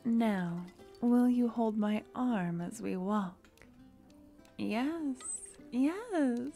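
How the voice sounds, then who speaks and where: Gentle necromancer girlfriend voiced by a woman, soft, intimate, drifting into whispers, very slow. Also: fairly high pitch, expressive, soft background music, full character voice. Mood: tender. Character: gentle young female necromancer girlfriend, a politician. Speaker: ASMR roleplay performer. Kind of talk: ASMR romantic fantasy roleplay.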